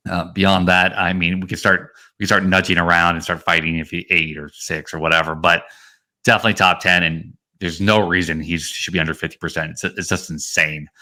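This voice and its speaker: A man with a lowish voice and a forceful, bright edge co-hosts a fantasy baseball show. He talks through his analysis casually and loosely, stressing the points that matter to him. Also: level tone, brisk. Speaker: podcast co-host.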